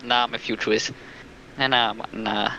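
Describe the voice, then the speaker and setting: A man's voice: fairly low pitch, steady tone, a little slow, pushed and bright, muffled recording, faint music behind. A man chatting casually on a livestream, hesitant and stumbling over his words.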